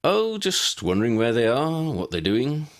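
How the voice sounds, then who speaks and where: A man's voice: mid-range voice, sing-song, normal speed, pitched above the performer's own voice, close to an everyday voice. A man putting on a nervous young wizard. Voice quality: nervous, eager.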